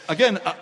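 An older man with a Yorkshire accent, a guest poet telling anecdotes on a stage with quick comic impressions and frequent laughter. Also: a bit bright, slight background noise. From South Yorkshire, England. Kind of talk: live stage chat, storytelling. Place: large city hall on stage. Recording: long reverb of a large hall.

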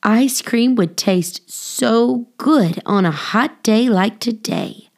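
A woman reading a children's story aloud as scripted narration, with expressive, deliberate phrasing for young listeners. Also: a little high, gravelly. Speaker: audiobook reader, children's storyteller.

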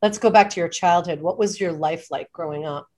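A woman's voice: lowish voice, a little fast, darkish tone. A woman hosting a podcast interview in a conversational way, attentively guiding her guest and affirming what she hears.

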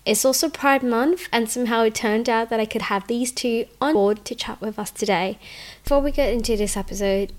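A woman hosting a podcast interview, chatty and encouraging with frequent affirmations. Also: fairly high pitch. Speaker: podcast host.

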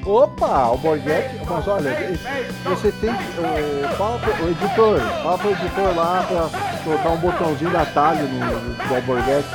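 A Brazilian man co-hosting a podcast, chatting in an animated way and breaking into loud exclamations. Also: on the high side, moderate pace, over loud music. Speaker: podcast co-host. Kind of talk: casual podcast banter.

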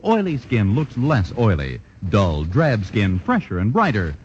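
Male 1940s radio announcer, animated sell, dull hissy sound. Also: unhurried. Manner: emphatic sales pitch. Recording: lo-fi old radio broadcast with faint hiss.

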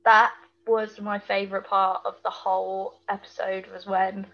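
A woman's voice: mid-range voice, flat delivery, measured pace, dull audio. A British woman chatting casually and colloquially as a podcast co-host, full of opinions while recapping television. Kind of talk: casual chat, TV episode recap.

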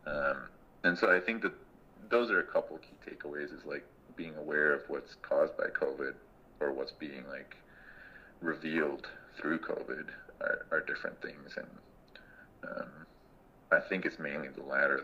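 A man in his thirties, a guest in a casual interview, speaking in a thoughtful, reflective way and pausing often mid-sentence. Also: rumbling low, bright, forceful tone, dull audio. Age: 30s. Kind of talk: casual conversation.

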